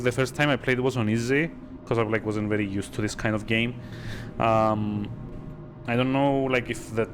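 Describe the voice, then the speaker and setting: A man giving casual, halting live commentary on a video game, sounding sleepy and bored. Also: medium pitch. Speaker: streamer playing a video game. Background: game music.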